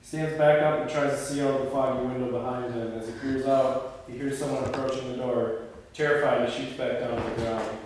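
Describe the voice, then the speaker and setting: Man, mid-pitched, narrating a script at a table read. Long hall reverb, faint noise. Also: fairly even intonation, a little fast, darkish tone. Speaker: table-read narrator reading stage directions, production lead. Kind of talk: scripted narration of screenplay, with casual discussion. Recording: echoey hall, distant mic, faint noise.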